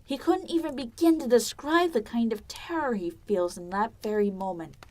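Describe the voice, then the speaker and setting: A woman's voice: on the high side, animated, normal speed, a little mellow, a little hiss or hum. A woman narrating a scripted audio drama as storyteller.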